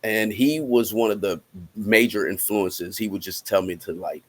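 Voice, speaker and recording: A man with an American accent speaking casually as an interview guest, halting as he thinks aloud. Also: fairly low pitch. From Cincinnati, United States. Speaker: interviewed musician and rapper.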